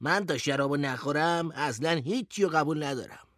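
A man playing a stubborn, blunt little male labourer, a cheeky slow pupil in an audiobook story. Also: on the high side, a bit bright, no echo, studio quality, close to an everyday voice. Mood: stubborn, eager.

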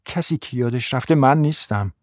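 A man's voice: medium pitch, a little mellow, no room sound, studio quality, close to an everyday voice. A man voicing a controlled, bossy mother in a storybook, with a restrained manner. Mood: curt. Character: controlled, bossy, exasperated mother, pregnant adult woman.

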